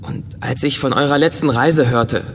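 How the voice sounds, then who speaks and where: A man playing an eager, bookish, curious robot in a science fiction audio drama, excitable, earnest and polite. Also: medium pitch, moderate pace, a little hiss or hum, natural voice. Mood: earnest enthusiasm. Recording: long reverberant hall sound.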